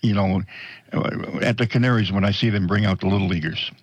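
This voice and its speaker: An older man hosts a local radio interview in a folksy, rambling way, cracking jokes as he goes. His voice is very deep, and the studio mic sounds close and dry, with no room echo. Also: brisk, lo-fi sound. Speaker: radio show host.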